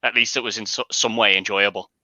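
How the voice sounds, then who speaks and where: Very bright, forceful low-pitched man. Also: steady tone, fast talker, no echo. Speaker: guest panellist. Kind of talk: casual chat. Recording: dry, dull lo-fi audio.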